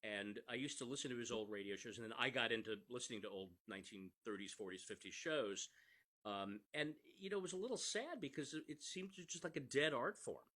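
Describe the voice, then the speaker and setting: A man's voice: mid-range voice, a little fast, pushed and bright. A man speaking earnestly and conversationally as an interview guest, sometimes repeating words as he starts a phrase. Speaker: screenwriter and podcast creator, interview guest.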